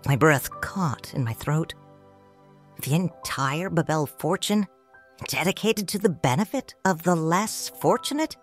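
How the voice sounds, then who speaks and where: Low-voiced woman narrating slowly. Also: animated. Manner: tender, reflective, first-person storytelling. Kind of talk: audiobook narration. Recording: dry studio voice with soft music.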